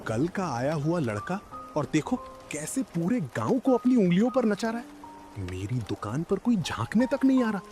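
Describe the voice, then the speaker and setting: A man's voice: high voice, huge pitch swings, normal speed, a little mellow, soft background music. A man voicing a grown village man of some standing in a cartoon story. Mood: bitter, envious. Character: hearty village landowner and jealous snack-seller rival, male.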